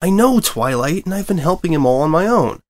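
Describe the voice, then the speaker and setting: A man's voice: a little high, lively intonation, brisk, voice pushed up, natural voice. A man voicing an earnest, eager young boy dragon assistant for a cartoon fan story. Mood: proud, earnest.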